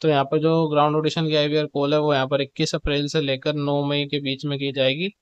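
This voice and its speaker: A man's voice: fairly high pitch, level tone, fairly quick, clean tone, no echo, muffled recording. A man presenting updates in an informal, instructional explainer style, with repetitive phrasing. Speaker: video presenter giving show updates.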